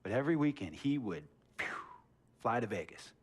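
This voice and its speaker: A man's voice: mid-range voice, sing-song, fairly quick, husky. An actor playing a stern middle-aged male team executive in a video game cutscene, lecturing with sarcasm and authority.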